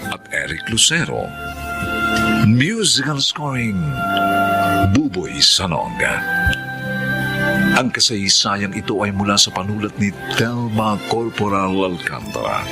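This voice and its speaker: A man's voice: lowish voice, big pitch swings, takes it slowly, gravelly, pauses often. A man announcing and narrating a radio drama in a solemn, theatrical, scripted style. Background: loud guitar music.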